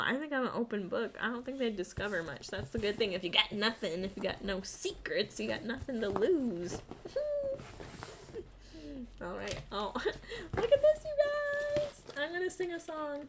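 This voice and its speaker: Giggly woman with a gravelly voice. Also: sits high, big pitch swings, measured pace, pauses often, background noise. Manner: bubbly, giggly, talks to viewers. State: sick with flu. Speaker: vlogger and English teacher. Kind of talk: casual unboxing chat. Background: crinkling packaging.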